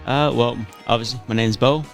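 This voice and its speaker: A man's voice: mid-range voice, steady tone, moderate pace, soft background music. A man from Saskatchewan co-hosting a casual podcast, laid-back and curious, asking questions with lots of "like". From Saskatchewan, Canada. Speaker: podcast co-host.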